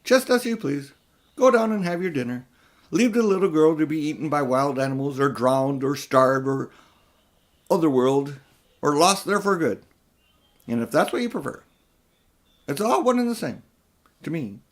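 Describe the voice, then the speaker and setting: A man's voice: expressive, normal speed, long pauses, lightly acted. A man voicing a haughty, manipulative older uncle who is a magician in a children's audiobook, speaking in a condescending, smug way. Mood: taunting. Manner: high and mighty, condescending, coolly smug. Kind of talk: children's audiobook character reading.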